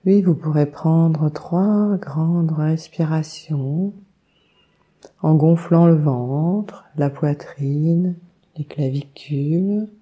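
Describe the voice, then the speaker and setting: A woman leading a guided meditation, giving calm, soothing instructions with long unhurried pauses. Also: low voice. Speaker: yoga nidra meditation guide.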